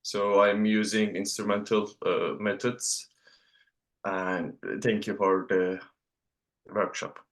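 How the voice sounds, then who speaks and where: A man's voice: fairly low pitch, flat delivery, slow. A man introducing himself briefly as a master's student on a video call.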